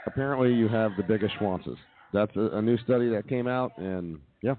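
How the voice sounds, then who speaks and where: A man co-hosting a comedy radio show, delivering news bits and banter in a wry, halting way. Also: lowish voice, slight background noise. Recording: dull muffled lo-fi audio.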